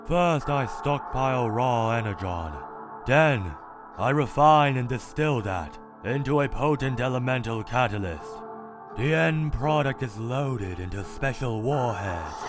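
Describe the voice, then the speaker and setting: A man voicing a cold, calculating, one-eyed male robot scientist in a comic dub, speaking in a measured, clinical, detached way. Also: medium pitch. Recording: dramatic music underneath.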